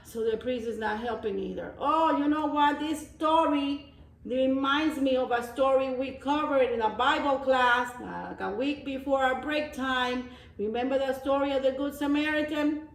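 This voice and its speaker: A woman reading a storybook aloud as a teacher of young children, pausing for simple explanations and repeating phrases. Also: high voice. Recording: roomy echo, distant microphone.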